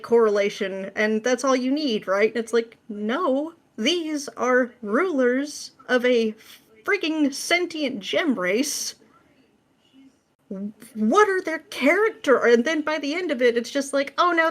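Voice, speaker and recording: A woman guesting on a podcast, ranting casually with exasperated sarcasm and dramatic, mimicking emphasis. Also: sits high.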